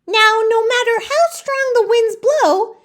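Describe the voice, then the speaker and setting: A woman's voice: takes it slowly, a bit bright, full character voice. A woman voicing an encouraging, helpful leaf friend in a children's storybook. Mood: eager. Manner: high-pitched, encouraging.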